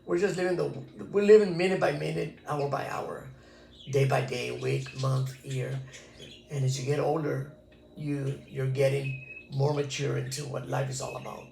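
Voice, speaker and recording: Man in his 60s, from Texas, gravelly voice, echoey room, reflective interview storytelling. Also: mid-range voice, fairly even intonation, moderate pace, darkish tone, slight background noise. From Texas, USA. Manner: reflective, earnest storytelling with many restarts. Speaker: interviewee, former pachuco. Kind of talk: casual recorded interview.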